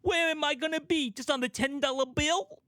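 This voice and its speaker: Mock stage-musical hero played by a man, dying and self-pitying, overwrought, pitched very high, close dry sound. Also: moderate pace, pushed and bright, dry recording. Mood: anguished lament. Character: melodramatic dying duelist hero lamenting his legacy, mocked.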